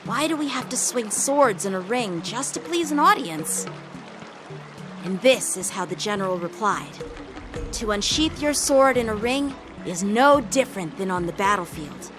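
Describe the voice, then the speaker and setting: A woman's voice: medium pitch, expressive, unhurried, close to an everyday voice. A woman voicing an earnest, eager teenage boy, a proud young knight in a video game. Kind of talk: English video game voice acting. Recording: music behind the voice. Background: game soundtrack music.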